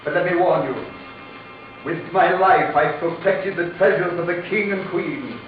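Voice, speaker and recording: A man's voice: a little high, measured pace, narrow, tinny audio, lightly acted. An actor playing a grave, bitter ghostly sea captain guarding his treasure, solemn and commanding in old-time horror radio style. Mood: urgent, solemn warning. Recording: band-limited old radio, echoey, distant, music underneath.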